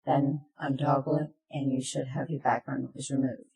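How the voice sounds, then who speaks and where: A presenter narrating a software tutorial in plain, step-by-step instructions, recorded in an echoey room. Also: mid-range voice, little pitch movement, normal speed, creaky.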